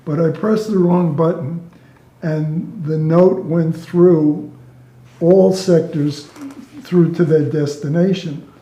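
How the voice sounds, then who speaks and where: A man's voice: a little high, steady tone, slow pace, dark tone, lo-fi sound. An older man, a retired air traffic controller, casually telling amused anecdotes and acting out bits of dialogue. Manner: amused, chuckles through own stories, reenacts dialogue. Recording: roomy, echoey sound.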